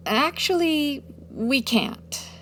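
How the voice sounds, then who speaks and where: A brisk, bossy private eye in a comic forties radio play, played by a woman. She gives orders confidently, with swooping, lively intonation. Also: sits high, a little hiss or hum, full character voice. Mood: confident scheming. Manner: take-charge, commanding. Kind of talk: comedic 1940s radio detective acting.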